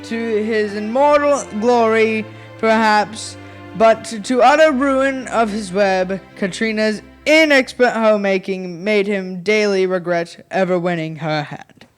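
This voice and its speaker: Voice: medium pitch, measured pace, soft background music, natural voice. A young student narrating a ghostly tale for a school radio play in a formal, dramatic storytelling manner. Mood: measured. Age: child or young teen.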